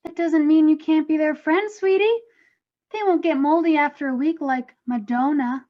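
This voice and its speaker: Woman playing a high-pitched doting ghost mom. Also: normal speed, lightly acted. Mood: chatty fussing. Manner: doting, chatty, overbearing. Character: gushing middle-aged mother ghost, fussy and doting. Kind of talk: animated comedy table read. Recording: dull lo-fi microphone.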